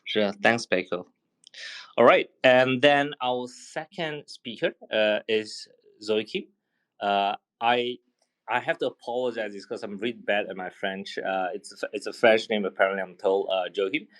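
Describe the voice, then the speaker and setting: A man with a fairly low voice moderates a casual online panel. He talks in an easygoing, chatty way and often stops to restart a sentence. His delivery stays informal and conversational. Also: moderate pace. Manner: relaxed, chatty, many fillers and restarts. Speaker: host and moderator.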